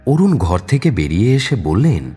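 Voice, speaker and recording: A man's voice: fairly low pitch, very animated, moderate pace, warm tone, breathy edge, faint music behind. A man narrating a crime audio story in a dramatic, scripted style.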